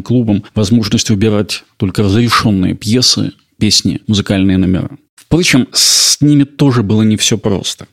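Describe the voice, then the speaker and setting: A man narrating a scripted history podcast, measured and explanatory with occasional small slips. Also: lowish voice, gravelly, breathy edge. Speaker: podcast host.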